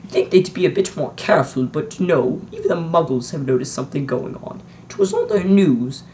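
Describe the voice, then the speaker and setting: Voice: medium pitch, brisk, warm tone, slight background noise, natural voice. A reader voicing a strict older woman professor who speaks in a clipped, impatient way. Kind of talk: audiobook reading.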